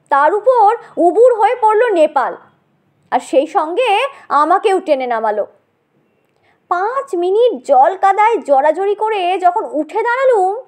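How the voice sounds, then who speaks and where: A woman narrating a comic short story in an expressive, theatrical manner. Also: extremely high pitch.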